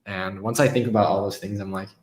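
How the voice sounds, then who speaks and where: A man with a lowish voice hosts a casual live stream, reading short quotes aloud and chatting about them with an upbeat, laughing manner. The room gives his voice a noticeable echo. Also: fairly even intonation, fast, a little mellow. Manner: upbeat, laughs often, reads quotes then reacts.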